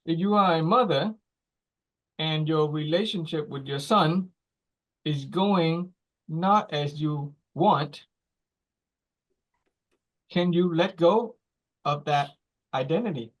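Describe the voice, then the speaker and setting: A facilitator leads the group with slow, reflective questions, pausing deliberately between phrases. The voice sits at a middle pitch, and the recording sounds dull and lo-fi. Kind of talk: guided reflective talk.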